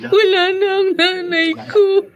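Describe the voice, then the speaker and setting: An earnest young expectant mother in a radio drama. Her voice is very high and unhurried, full of grief and pleading emotion. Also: flat delivery, dull audio. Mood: tearful grief. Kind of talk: Tagalog radio drama acting.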